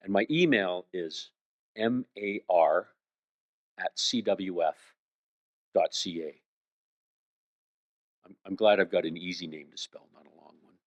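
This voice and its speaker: Canadian guy giving a conference talk, lowish voice, kinda muffled lo-fi recording. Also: a little slow, long pauses. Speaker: conference speaker. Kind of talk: conference talk answering audience questions. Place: indoor conference room. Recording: roomy, dull lo-fi sound.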